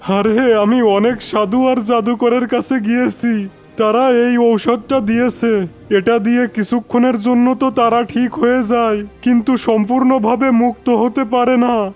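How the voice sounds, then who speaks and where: A man's voice: extremely high pitch, fairly even intonation, a little slow, a little mellow. A man voicing a desperate, worried village father, with music behind. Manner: anxious, pleading.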